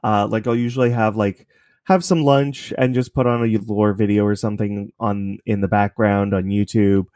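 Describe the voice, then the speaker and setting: A man's voice: fairly low pitch, level tone, moderate pace, darkish tone, no room sound, studio quality. A man, speaking as a guest in a casual interview, rambling thoughtfully. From Canada. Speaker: interview guest, podcast creator. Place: home basement apartment, at a computer desk.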